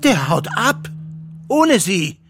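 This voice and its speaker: A man's voice: high-pitched, very animated, slow, noisy background, heavily acted. An actor playing a coarse, desperate man on the run with a violent temper, blustering and agitated, in a German audio drama. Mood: agitated, menacing. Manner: foul-mouthed, blustering, agitated.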